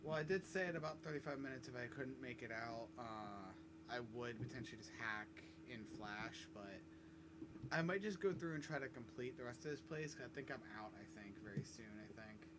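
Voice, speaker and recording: A man's voice: medium pitch, animated, brisk, noisy background. A man giving casual, rambling let's-play commentary, swearing and mocking himself, between coughing fits at his desk mic. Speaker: gaming YouTuber.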